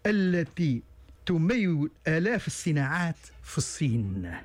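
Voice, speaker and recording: A man's voice: fairly high pitch, sing-song, moderate pace. A Tunisian-accented man analysing events as a talk show guest. His somewhat raised voice halts and restarts often, with frequent fillers. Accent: Tunisian Arabic. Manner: hesitant, many stalled restarts and fillers. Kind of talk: studio talk show discussion.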